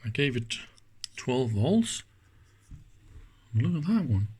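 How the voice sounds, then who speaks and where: A man's voice: mid-range voice, sing-song, slow pace, mellow, slightly rough. A man giving casual hands-on commentary at an indoor workbench, thinking aloud informally with small exclamations. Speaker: host of electronics teardown videos.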